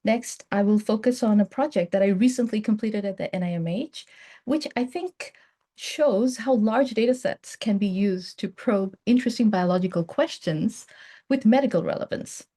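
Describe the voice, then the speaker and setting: A woman's voice: medium pitch, normal speed, mellow. A woman giving an academic seminar talk as a guest researcher, explaining her work with informal asides. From Bangladesh. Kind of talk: academic presentation.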